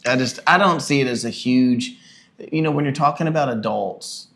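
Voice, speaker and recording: A man in his forties, a pastor co-hosting a podcast with his wife, musing in relaxed casual chat and laughing often. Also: medium pitch, normal speed, gravelly. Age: 40s.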